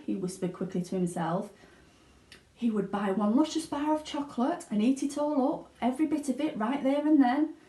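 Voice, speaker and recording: A woman reading a children's storybook aloud with expressive narration. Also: medium pitch. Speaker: teaching assistant reading aloud. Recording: echoey, roomy sound.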